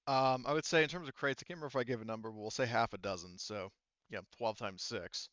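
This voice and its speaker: A man speaking casually and haltingly as the game master of a tabletop roleplaying game. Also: medium pitch, fairly bright tone, clear, resonant tone, dry recording, lo-fi sound. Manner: halting, informal.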